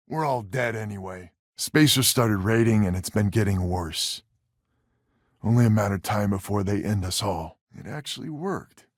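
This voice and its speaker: A man's voice: medium pitch, a bit bright, no room sound, studio quality. An actor playing a wry, weary male space colonist who heads a settler family in a video game, with a folksy, sardonic manner. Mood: weary, grave.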